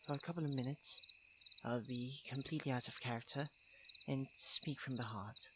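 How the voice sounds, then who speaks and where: A man's voice: mid-range voice, moderate pace, lightly acted. A man playing a young tsundere prince, haughty yet tender-hearted, speaking formally and intimately to the listener in an ASMR roleplay. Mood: vulnerable, hesitant. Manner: formal, princely, precise wording. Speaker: roleplay voice actor. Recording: clear ambient noise with chirping crickets. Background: night crickets and insects.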